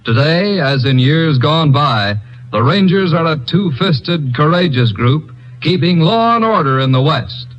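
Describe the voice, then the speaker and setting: A man's voice: a little high, lively intonation, a little slow, a bit bright, slight background noise. A man announcing network promos in a 1950s radio broadcast, in an upbeat, emphatic way. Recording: dull old radio broadcast with music.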